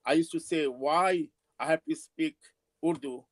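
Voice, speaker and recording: Halting older Bangladeshi man, fairly high voice. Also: dry recording. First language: Bengali. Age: 70s. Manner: halting, emphatic, with drawn-out words. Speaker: panel speaker at a forum. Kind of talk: political speech with personal recollection.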